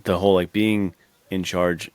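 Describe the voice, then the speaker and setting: Male podcast co-host, extremely deep voice, casual film chat, discursive and halting. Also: little pitch movement, a little mellow, smooth, no echo. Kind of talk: casual chat about films.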